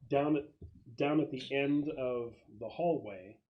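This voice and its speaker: A man's voice: medium pitch, a little slow, lo-fi sound, crowd noise. A man narrating a tabletop roleplaying game as game master, describing scenes in a conversational way.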